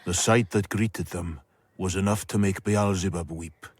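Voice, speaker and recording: An actor playing an eloquent storyteller, narrating a radio drama in a measured, florid way. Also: male voice, sits low, fairly even intonation, slight background noise.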